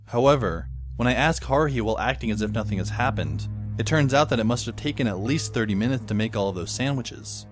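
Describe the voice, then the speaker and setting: A teenage schoolboy played by a man narrates an audio drama in a lively, varied voice. He is wry and brooding, with dry sardonic asides, over faint music. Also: mid-range voice, quick pace, hardly any pauses, natural voice. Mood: wry, pondering. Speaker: lead actor and narrator. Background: faint background music.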